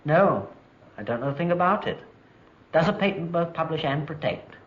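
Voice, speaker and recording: A man's voice: a little high, animated, normal speed, close to an everyday voice. A man acting an earnest, modest British inventor in a 1950s docudrama, speaking in a clipped way. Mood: modest, curious. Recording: dull, noisy vintage film soundtrack.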